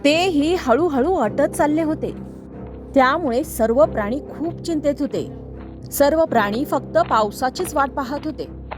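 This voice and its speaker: उंच आवाजाची गोष्ट सांगणारी स्त्री. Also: female voice, sits high. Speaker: storyteller. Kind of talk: scripted children's moral-story narration.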